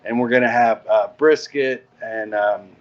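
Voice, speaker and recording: A man's voice: mid-range voice, fairly even intonation, unhurried, dull audio. A man chatting casually as an interview guest, explaining plans as an event organizer.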